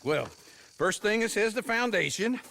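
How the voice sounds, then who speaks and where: A jovially bossy older idler for a western video game. His voice is fairly high and swoops about with theatrical pomp. He is wordy and wheedling, over faint background noise. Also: lively intonation, moderate pace, gravelly, sharp tone, exaggerated voice. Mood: mock offended.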